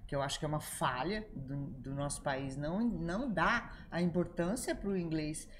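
An older woman, a podcast guest, giving opinions in an animated, storytelling way. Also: sits low, normal speed, slight background noise. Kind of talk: casual podcast discussion.

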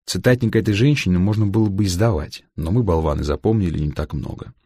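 A man reading a humorous literary story as an audiobook narrator, with a gravelly voice and wry, dry irony. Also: low-pitched, fast talker, a little mellow, no room sound.

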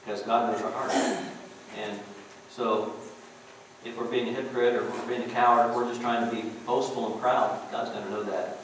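A middle-aged man preaching to his congregation in a relaxed, chatty way, dropping in asides. His voice rings around a very echoey church, caught from some distance by the mic, with a faint hiss underneath. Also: medium pitch, normal speed. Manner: folksy, conversational preaching with chuckles and "you know". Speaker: pastor. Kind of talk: sermon. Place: church sanctuary.

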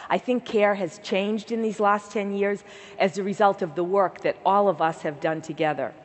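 A woman in her sixties with a slight Boston accent, giving an earnest keynote address on a large conference stage. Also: medium pitch. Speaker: keynote speaker, organization leader. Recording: large hall reverb.

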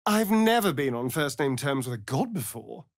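An actor playing a centuries-old, flamboyant and sardonic vampire rogue in a video game, with an arch, theatrical drawl. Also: male voice, medium pitch, very animated, normal speed, dry recording, studio quality. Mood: haughty, playful. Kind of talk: video game character acting.

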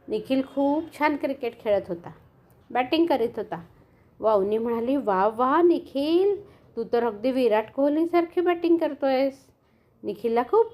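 An older woman narrating a children's bedtime story in an expressive, animated way. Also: sits high.

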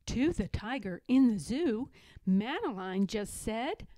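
Woman reading a kids picture book, highish voice, really lilting and expressive, dry close sound. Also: no room sound. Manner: deliberate, expressive storytelling of rhyming lines. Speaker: storybook reader. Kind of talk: children's picture book read-aloud.

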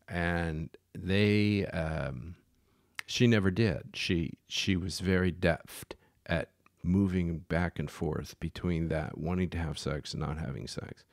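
Man, low gravelly voice, dry close sound, easygoing rambling storyteller with lots of fillers. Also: dry recording. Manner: rambling, many ums and restarts. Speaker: yacht charter captain. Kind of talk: casual storytelling. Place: Los Angeles.

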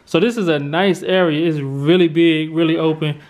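High-voiced American man vlogging casually. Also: fairly even intonation, moderate pace. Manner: informal, chatty. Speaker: family vlogger. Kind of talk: casual house tour narration. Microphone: handheld vlog camera at arm's length. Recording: handheld camera with clear background noise.

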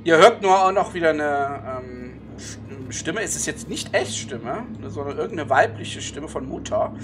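A man's voice: on the high side, lively intonation, normal speed. A man narrating a tabletop game as game master over a group video call. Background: music.

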